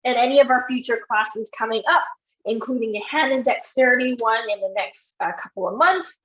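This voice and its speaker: A woman physiotherapist with a high voice talks a class through stretches, step by step and encouraging. Her voice is a little croaky. The sound is roomy and echoing, with a dull, lo-fi quality. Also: brisk, a bit bright. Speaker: physiotherapist leading an exercise class. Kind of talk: live online exercise instruction.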